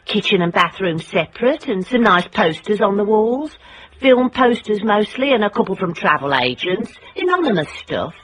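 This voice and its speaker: An actress playing a tough, determined private investigator in a radio drama, dry and wry. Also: female voice, mid-range voice, very animated, normal speed, fairly bright tone, slightly rough. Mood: wry, observant. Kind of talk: radio drama acting with first-person narration.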